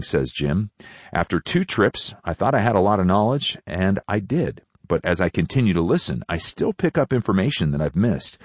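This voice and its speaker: Man, radio host, very deep voice, earnest, measured, dry close mic. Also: no room sound. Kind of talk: reading listener letters and praying.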